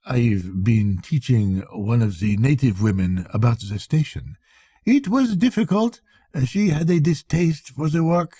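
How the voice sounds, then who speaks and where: A man voicing a fastidious, prim male accountant in a storybook reading, speaking precisely, formally and modestly. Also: mid-range voice, animated, mellow, slightly rough, dry recording, studio quality. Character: fastidious, prim male colonial chief accountant, mild and faintly annoyed. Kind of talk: storybook character voice in audiobook reading.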